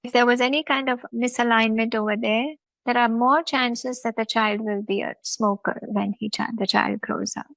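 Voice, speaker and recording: High-voiced Indian woman, emphatic. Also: fairly even intonation, moderate pace, vocal fry, few pauses, fairly bright tone, no echo, poor audio. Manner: emphatic, repetitive listing. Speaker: host. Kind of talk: explanatory talk show chat.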